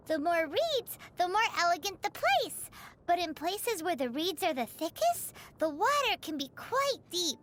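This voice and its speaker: Tiny game fairy sidekick, extremely high childlike voice, very animated swoops, chirpy, music behind. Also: female voice, a little hiss or hum, heavily acted. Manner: chirpy, refers to herself in third person. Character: tiny excitable floating fairy companion, childlike, female. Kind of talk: video game character voice lines.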